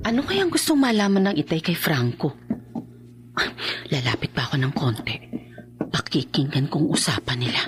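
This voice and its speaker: An actress playing a worried, puzzled young wife and dutiful daughter in a radio horror drama, anxiously wondering aloud. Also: female voice, sits low, expressive, moderate pace. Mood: shocked.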